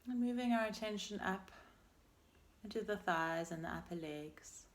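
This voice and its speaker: A woman leading a guided meditation as a teacher, speaking in a gentle, unhurried and soothing way with pauses between phrases. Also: mid-range voice. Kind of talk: guided meditation, body scan.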